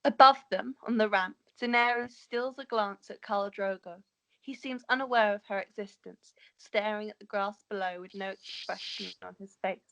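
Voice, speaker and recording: A woman's voice: medium pitch, moderate pace, sharp tone, poor audio. A woman reading scene descriptions as narrator in an amateur script table read. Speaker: narrator reading stage directions.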